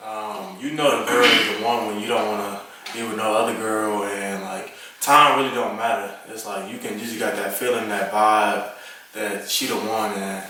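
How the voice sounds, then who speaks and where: A man's voice: on the low side, fairly even intonation, moderate pace, bright, forceful tone. A twenty-year-old man from Detroit, speaking in a laid-back, plainspoken way as a panelist. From Detroit, USA. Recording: echoey room with noticeable noise.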